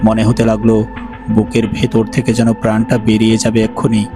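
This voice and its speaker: A male narrator tells a ghost story in a grave, measured way. His voice is low and almost monotone. Loud music plays behind him. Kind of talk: scripted horror story narration.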